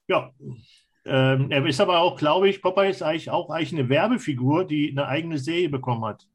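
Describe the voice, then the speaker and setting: A man chatting casually as a podcast panelist. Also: fairly high pitch. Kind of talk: casual chat.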